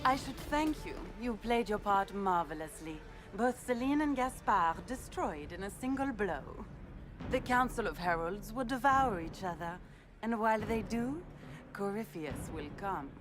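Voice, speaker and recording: A woman's voice: medium pitch, lightly acted. An actress playing a scheming, treacherous noblewoman in a fantasy game, theatrical, coy and gloating. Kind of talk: fantasy video game acting.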